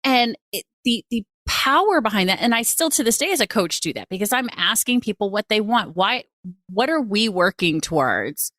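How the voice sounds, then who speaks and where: A woman's voice: mid-range voice, animated, normal speed, a bit bright, no room sound, studio quality. A woman hosting a podcast and coaching, chatting in a casual, emphatic and gushing way, often repeating phrases for effect. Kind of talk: casual, animated interview chat.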